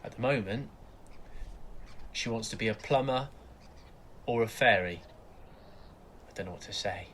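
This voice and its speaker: An actor playing a weary, awkward young father who is quietly grieving, in naturalistic audio drama. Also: lowish voice, measured pace, pauses often, a little hiss or hum. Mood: low, deflated.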